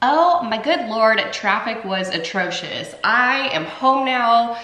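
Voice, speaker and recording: A woman's voice: fairly high pitch, expressive, takes it slowly, echoey room, over loud music. A young woman in her twenties, stuffed up and congested, chatting upbeat to camera at home for her vlog. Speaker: vlogger. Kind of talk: casual vlog talk to camera. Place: home, indoors. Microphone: camera mic at arm's length.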